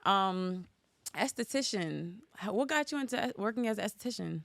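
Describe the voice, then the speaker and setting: A woman's voice: mid-range voice, lively intonation, normal speed, vocal fry, a bit bright, no room sound. A woman hosting a casual podcast chat, talking in a loose, rambling way with lots of "like". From Norwalk, Connecticut, USA. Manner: chatty and rambling, heavy use of "like". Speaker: podcast host.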